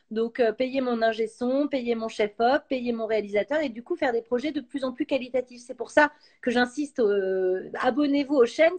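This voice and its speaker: Lively woman chatting live. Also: high voice. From France. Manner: lively, enthusiastic, many fillers. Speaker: actress and YouTuber, guest. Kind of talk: casual live-stream chat. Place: home, live stream.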